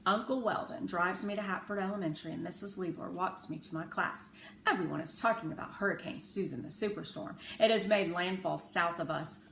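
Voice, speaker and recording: A woman reads a children's story aloud with a bright, measured delivery. Her phrasing is clear, and the room adds an echo over faint background noise. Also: fairly low pitch. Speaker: read-aloud narrator. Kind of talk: children's audiobook-style storytelling.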